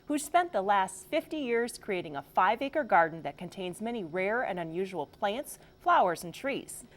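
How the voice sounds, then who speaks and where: Lively female TV narrator. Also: medium pitch, moderate pace. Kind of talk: scripted narration.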